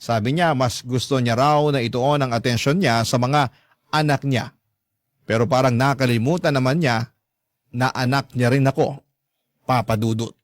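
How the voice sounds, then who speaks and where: A male actor voices a hurt grown son looking back on his life. The narration is scripted and confessional, in an average-pitched male voice. The sound is dry and close, with an earnest delivery. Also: fairly even intonation, normal speed, no echo, close to an everyday voice. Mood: wistful. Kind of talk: scripted first-person drama narration.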